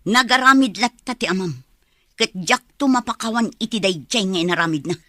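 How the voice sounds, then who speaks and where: A woman's voice: mid-range voice, a little fast, a bit bright, no echo, clean studio sound, lightly acted. An actress playing a curt, scolding middle-aged mother, defiant and vindictive, in a radio drama. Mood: angry, defiant.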